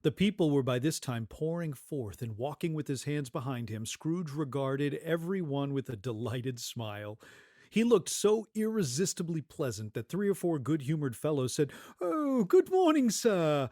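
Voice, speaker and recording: A man narrating a story in an expressive, dramatic scripted style, relishing repetition and emphasis. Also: mid-range voice, moderate pace, no echo, studio quality.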